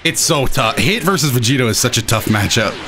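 A young adult man giving excitable, self-deprecating live commentary over his own gameplay as the host. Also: mid-range voice, a little fast, over music. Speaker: gaming video host.

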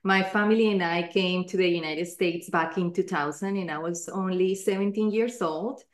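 A woman's voice: fairly low pitch, normal speed. Colombia-born woman, roomy laptop audio. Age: 40s. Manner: earnest, many fillers and restarts. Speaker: guest, life coach. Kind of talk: video call interview. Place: living room at home.

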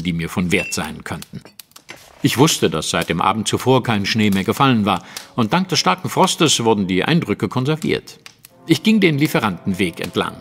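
Confident detective explaining a case, very animated and precise, audio drama with music behind. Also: male voice, on the low side. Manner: articulate, precise, dramatic storytelling. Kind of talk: German audio drama acting, narrative monologue.

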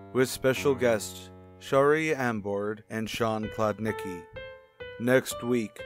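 A man reading a scripted radio show outro as announcer, formal and measured. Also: mid-range voice. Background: music.

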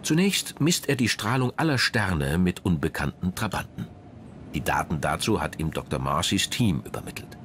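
A man's voice: deep voice, very animated, moderate pace, noisy background. A man reading scripted narration as a documentary narrator.

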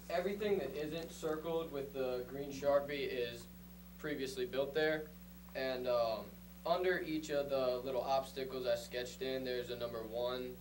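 A young man giving an informal public presentation, speaking casually and a little hesitantly in a meeting room. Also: medium pitch. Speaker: resident presenting a proposal. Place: council meeting room, far camera. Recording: distant room mic, loud background noise.

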